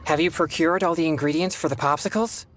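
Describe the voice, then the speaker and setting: An actor playing a polite, earnest young exorcist in a video game, with formal, measured phrasing. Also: male voice, a little high, background noise, lightly acted. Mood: earnest, grateful. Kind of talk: video game character dialogue.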